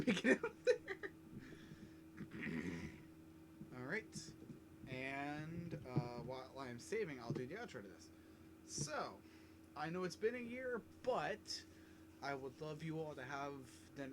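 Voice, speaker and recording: A man's voice: a little high, background noise. A man giving casual, rambling let's-play commentary, swearing and mocking himself, between coughing fits at his desk mic. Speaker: gaming YouTuber.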